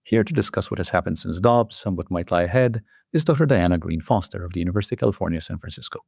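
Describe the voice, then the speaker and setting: A man hosting a formal interview, speaking in measured phrases as he delivers scripted introductions and questions. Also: sits low, creaky, darkish tone, slightly rough, dry recording, studio quality. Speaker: host and interviewer, journal editor.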